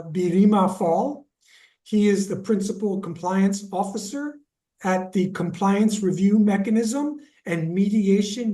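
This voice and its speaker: A woman moderating a webinar, her voice mellow and soft. She stumbles over restarts as she introduces the panel. The audio is distant, as if she sits away from the microphone on a video call. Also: lowish voice.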